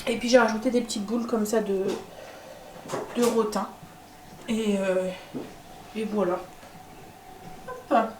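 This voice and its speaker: A woman vlogging casually from home, with a stuffy nose from a cold, chatting with lots of filler pauses. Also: medium pitch, steady tone, echoey room, background noise. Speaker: family vlogger. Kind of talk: casual home vlog chat.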